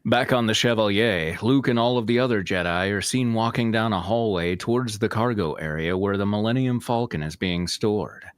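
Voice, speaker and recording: Male narrator, somewhat low voice, dry close sound, informal read-aloud of a fan story. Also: normal speed, few pauses, smooth, dry recording. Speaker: narrator and co-host of a fan-fiction reading show.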